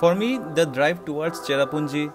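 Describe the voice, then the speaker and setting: A man's voice: fairly high pitch, steady tone, quick pace. A man with an Indian accent presenting a travel vlog as its host, narrating and speaking to the camera. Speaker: travel vlogger. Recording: loud music behind the voice.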